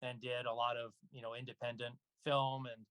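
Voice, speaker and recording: Male interview guest, mid-range voice, dry sound, measured explanatory talk. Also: medium pitch, flat delivery, a bit bright, clean tone, no room sound. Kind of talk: casual conversation.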